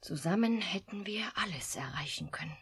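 Very breathy, solemn artificial young woman. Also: lowish voice, lively intonation, normal speed, a bit bright, exaggerated voice. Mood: anguished. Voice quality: breathy, often near whisper. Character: young artificial woman, coldly logical yet anguished. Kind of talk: German radio drama acting.

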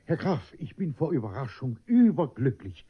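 An actor playing a fawning, flustered rich bourgeois who stumbles over flowery compliments in a radio comedy. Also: male voice, medium pitch, very animated, normal speed, husky. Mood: overjoyed. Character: fawning, flustered rich bourgeois man eager to impress nobility. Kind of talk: German radio play comedy acting. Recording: dull, lo-fi old radio recording.

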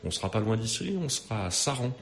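A man who plays in a rock band, talking as a radio guest in a deep, gravelly voice. He hesitates often and repeats his words while he works out what to say. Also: animated, quick pace, a bit bright. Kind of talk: casual radio interview.

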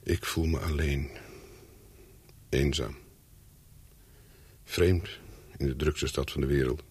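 An actor playing a lonely, brooding man who tells his own story in a radio play, deliberately and slowly. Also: very deep voice, fairly even intonation, darkish tone, breathy edge, full character voice. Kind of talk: radio play monologue and dialogue.

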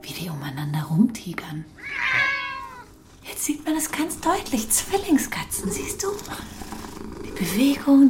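A woman's voice: on the high side, noisy background. A woman playing a frank, wry young woman on a date in a naturalistic audio drama, casual and teasing in manner. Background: music.

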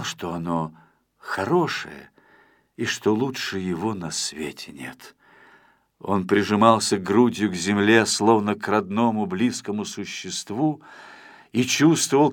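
A man narrating a children's story expressively as an audiobook reader. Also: on the low side.

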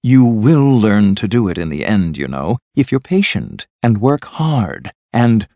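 A man voicing a sensible, loyal river rat from a storybook in a measured, serious manner. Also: lowish voice, sing-song, dark tone, no echo. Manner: measured, serious, matter-of-fact. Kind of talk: storybook character voice.

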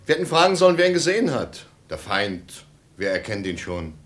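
A wary family father in a German radio play. His low voice moves over a wide range, measured and watchful as he tries to keep calm. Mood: wary, subdued.

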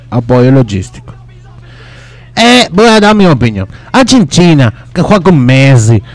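A man playing a boastful, paranoid Brazilian ex-footballer on a radio comedy bit, with a put-on Brazilian Portuguese accent, rambling and halting as he mixes Portuguese into his Spanish. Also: a little high, darkish tone, no echo.

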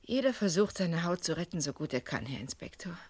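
A woman's voice: on the low side, sing-song, brisk, a little mellow, breathy edge, no echo. An actress playing a proud, defiant French woman cornered as a murder suspect in a 1980s German radio crime drama, formal and defensive. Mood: bitter, subdued.

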